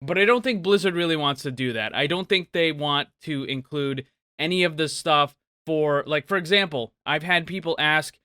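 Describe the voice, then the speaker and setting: Bright, higher-pitched man hosting gaming videos. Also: normal speed, smooth, no echo, clean studio sound. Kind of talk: upbeat video commentary answering viewer questions.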